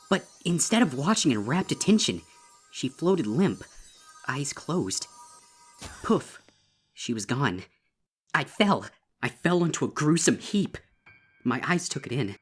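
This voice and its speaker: A man reading a horror story as a traumatized female unicorn, grave, deliberate and intense, over music. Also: fairly high pitch. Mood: horrified awe. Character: traumatized young female unicorn, horrified and desperate. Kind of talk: dramatic first-person horror story reading.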